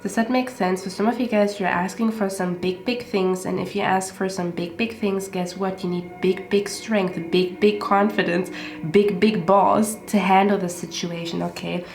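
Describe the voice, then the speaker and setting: A woman's voice: medium pitch, steady tone, normal speed. Congested woman doing a tarot reading. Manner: repetitive, often checks in with "okay?". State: stuffy congested nose. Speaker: tarot card reader. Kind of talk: casual tarot reading monologue. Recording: roomy echo with faint music.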